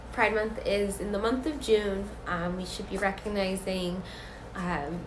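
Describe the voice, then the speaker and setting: A woman's voice: medium pitch, fairly bright tone. Woman educator, stuffy and sick, earnest live-stream host, echoey room with faint hiss. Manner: filler-heavy, earnest, repeats for emphasis. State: congested, sick.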